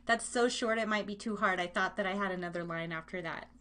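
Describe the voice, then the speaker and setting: A woman's voice: mid-range voice, quick pace, a bit bright, slight background noise. A woman hosting a live online radio show, chatting informally and slangily and speaking straight to her listeners. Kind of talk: casual, interactive live-stream chat and game hosting.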